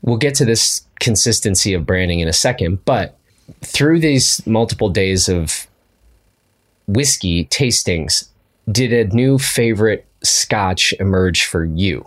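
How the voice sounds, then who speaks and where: A man's voice: on the low side, lively intonation, unhurried. A young man hosting a casual podcast chat, talking in a dry, joking, self-mocking way. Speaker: podcast host.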